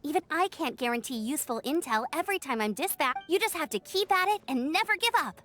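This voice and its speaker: A woman's voice: sits high, expressive, quick pace, fairly bright tone, faint music behind. A woman playing a friendly, upbeat teenage knight scout in a video game, speaking in a bubbly, encouraging way. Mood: cheerful. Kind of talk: scripted video game character acting.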